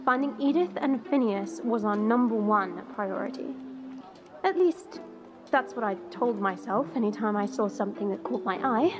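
Woman acting a high-voiced wry dragon girl. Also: moderate pace, over music, close to an everyday voice. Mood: wry confiding. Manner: chatty, confiding, wry. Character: proud, sardonic young dragon woman, secretly soft-hearted. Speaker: narrator and lead character. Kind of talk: first-person audio drama narration.

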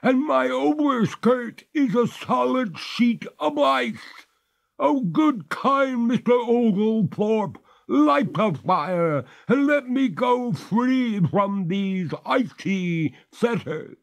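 A man's voice: high-pitched, very animated, slow pace, darkish tone, voice pushed up, exaggerated voice. A man putting on a pleading lady water ghost. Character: pleading female water ghost, genteel, dripping.

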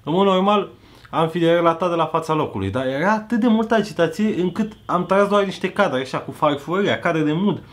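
A man's voice: on the high side, animated, a little hiss or hum. A young man telling a story to the camera in a casual vlog, joking and laughing often. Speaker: vlogger. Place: living room, seated on a sofa.

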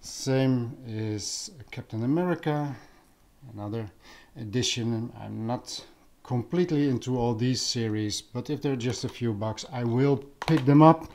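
A man with a Dutch accent talks in a relaxed, soft-edged tone. His pace is slow, and he often searches for the next word. It is casual, off-the-cuff vlog commentary from someone at home. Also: medium pitch, slight background noise. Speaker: vlogger, audio enthusiast. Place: living room at home.

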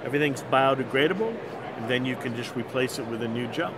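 An older man, a company founder, explaining a product at an expo booth in a confident, practiced way. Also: fast talker. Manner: assured, fluent pitch. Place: busy indoor expo booth. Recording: loud background noise of the expo hall.

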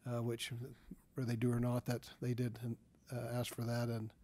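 A man reports to a board in a meeting hall, his delivery halting and full of uhs and restarts. His voice is flat in pitch and mellow in tone. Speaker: regional advisory council chair.

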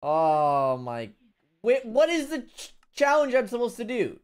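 A young man live-streaming a video game on a headset mic, swearing often and reacting loudly. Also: wildly swooping pitch, a little slow, smooth. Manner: swears a lot, loud and jumpy pitch. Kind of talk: casual gaming chat.

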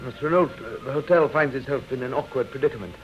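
A man's voice: medium pitch, fast, natural voice. A man acting a fussy, apologetic hotel manager in a 1930s radio drama, formal and hesitant. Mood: awkwardly polite. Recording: muffled vintage radio, music behind.

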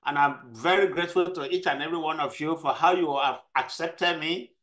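A man's voice: fairly high pitch, fairly quick, fairly bright tone. Emphatic man with a South Texas accent. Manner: emphatic, repeats key phrases. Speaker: club member giving a speech. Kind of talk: motivational club speech. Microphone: video call.

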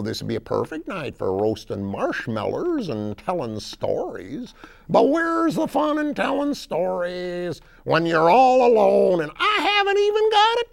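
A performer voicing a rustic female bear queen, lonely and sociable, with folksy, hollering, drawn-out speech. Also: mid-range voice, creaky, a little mellow, pitched above the performer's own voice, full character voice.

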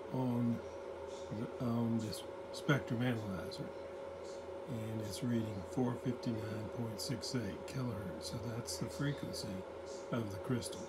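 A man's voice: medium pitch, heavy background noise. A man talking through a radio repair at his workbench, muttering to himself as he works. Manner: slow, muttering to himself while working. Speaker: radio restorer. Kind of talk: hands-on repair walkthrough. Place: workbench indoors.

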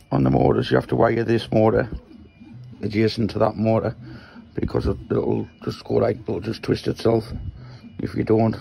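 A man from Newcastle with a Geordie accent and a croaky voice, casually talking through his workshop project while filming on a handheld phone in a small shed. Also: lowish voice, warm tone, low-quality recording. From Newcastle, England. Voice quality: croaky, gravelly voice. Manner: rambling, explains while handling parts. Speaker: maker vlogger.

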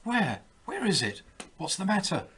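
A man's voice: sits high, wildly swooping pitch, slow, a little mellow, breathy edge, pitched above the performer's own voice, full character voice. A man voicing a frightened, tender old husband who speaks in a shaky, hesitant way in a storybook reading. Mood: alarmed.

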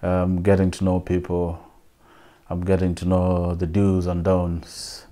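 A man in his thirties with a Ugandan accent, speaking softly and reflectively in an interview from his living room. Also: low-pitched, monotone, slow. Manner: soft-spoken, reflective, with fillers. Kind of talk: personal interview answers.

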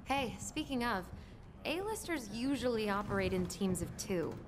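Game cutscene companion: young adventurer, friendly, casual, lively intonation, a touch high. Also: female voice, normal speed, noisy background. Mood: casual, curious. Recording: music underneath.

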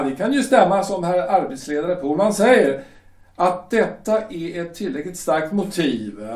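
A man's voice: a little high, echoey room, distant mic. A man playing a pompous shipyard director. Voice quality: hesitant, drawn-out, insinuating. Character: pompous male shipyard director, ingratiatingly menacing. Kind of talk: audio drama acting.

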